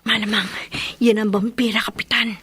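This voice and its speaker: An actress plays a brave young woman in a horror radio drama. Her voice is fairly high and she speaks with earnest, dramatic determination. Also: level tone, normal speed, a bit bright, a little hiss or hum, close to an everyday voice. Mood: tense, hushed. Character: determined, brave young daughter hunting a vampire.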